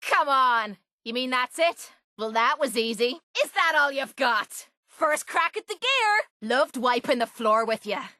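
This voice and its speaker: A woman's voice: high voice, expressive, a little slow, few pauses, sharp tone, dry recording, studio quality, full character voice. A woman playing a brash, foul-mouthed young female cage fighter in a video game, with an Irish accent and a blunt, cocky way of talking. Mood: taunting, combative. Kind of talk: video game companion voice lines.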